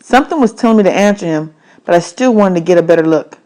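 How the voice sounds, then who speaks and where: Low-pitched woman reading a story aloud. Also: lively intonation, fast talker, mellow, poor audio. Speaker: read-aloud narrator. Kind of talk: scripted children's novel narration, first person.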